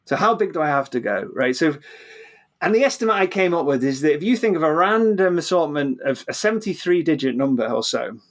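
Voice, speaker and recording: Higher-pitched British man, halting explainer. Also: animated. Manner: halting, repeats words and restarts often. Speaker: podcast guest, physicist and author. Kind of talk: informal explanatory interview talk.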